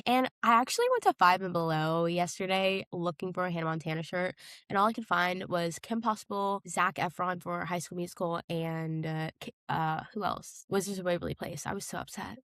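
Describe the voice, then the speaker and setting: A woman's voice: lowish voice, brisk, fairly bright tone, clean tone, no echo, clean studio sound. A woman co-hosting a podcast in casual, nostalgic chat, with slangy and emphatic phrasing. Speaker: podcast co-host.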